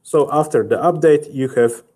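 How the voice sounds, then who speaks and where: A man in his thirties with a Polish accent, giving a halting, methodical software tutorial as a technical specialist. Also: fairly high pitch, slightly rough. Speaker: technical specialist presenting a webinar.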